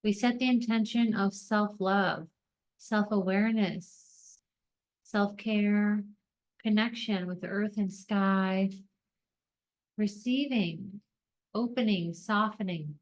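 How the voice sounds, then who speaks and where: A woman leading a guided meditation, speaking gently in deliberate short phrases with long pauses between them. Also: medium pitch, steady tone.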